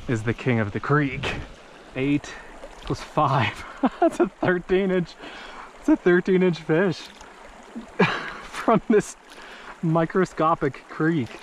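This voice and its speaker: A man's voice: fairly high pitch, a little hiss or hum. A man in his thirties narrating his fishing trip outdoors, exclaiming whenever he hooks a fish. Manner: excitable, exclaims at each catch. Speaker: fishing vlogger. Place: small creek in an open mountain valley outdoors. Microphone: head-mounted action camera.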